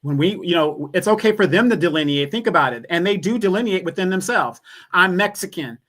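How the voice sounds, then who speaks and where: A man's voice: high voice, animated, fairly quick, fairly bright tone. A man co-hosting a casual livestream, making emphatic points and repeating them to drive them home. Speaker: co-host.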